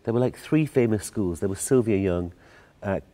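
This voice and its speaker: A middle-aged man from the north-east of England with a broad Geordie accent, chatting as a guest in a relaxed interview. His voice sits low and mellow, with a warm, dark tone. He tells his stories with frequent restarts and easy laughs. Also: moderate pace. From Newcastle, England. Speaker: guest choreographer. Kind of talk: casual interview chat.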